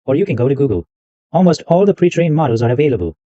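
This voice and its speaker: A man talks through a software setup step by step, often repeating a phrase as he goes. His voice sits a bit high and swings around a lot, with a mellow tone. The recording is dry and a little dull and lo-fi. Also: big pitch swings, very fast, dry recording. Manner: explains step by step, repeats himself. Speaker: tech tutorial host. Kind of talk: screen-recorded software walkthrough.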